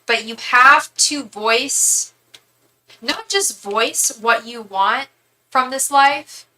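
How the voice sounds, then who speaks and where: Woman, 30s, live tarot reader, rambling chatty delivery, bright crisp voice. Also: sits high, lively intonation, extremely slow, a little hiss or hum. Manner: chatty, rambling, many asides and restarts. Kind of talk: live tarot reading for viewers.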